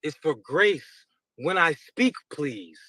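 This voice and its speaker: A man on a podcast panel, talking defensively and slowly, with careful pauses. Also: on the high side, expressive, bright, forceful tone, dry recording. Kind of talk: heated podcast panel talk.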